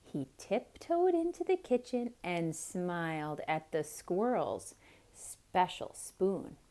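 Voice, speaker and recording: Slow, very animated woman storyteller. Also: lowish voice. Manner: expressive, dramatic, explaining things to children. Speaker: children's storyteller. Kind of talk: theatrical picture-book read-aloud.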